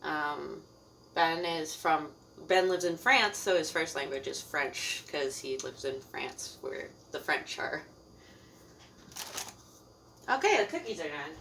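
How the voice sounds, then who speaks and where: A woman's voice: low voice, lively intonation, takes it slowly, gravelly, sharp tone, a little hiss or hum. A young Canadian woman from Ontario chatting casually on a live cooking stream at home, rambling with fillers and laughing often. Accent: Canadian English. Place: home kitchen.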